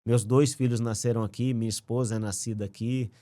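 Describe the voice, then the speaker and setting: A man's voice: medium pitch, fairly quick, no echo, clean studio sound. Chatty man. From Rio de Janeiro, raised in Brasília. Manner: relaxed, slangy, chatty and earnest. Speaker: podcast guest. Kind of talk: casual conversational storytelling.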